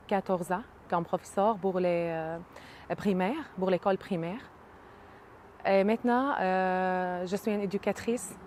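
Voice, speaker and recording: A Syrian woman in her thirties whose first language is Arabic, speaking hesitantly with many pauses and fillers in an interview. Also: mid-range voice, darkish tone, a little hiss or hum. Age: 30s. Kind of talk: casual interview.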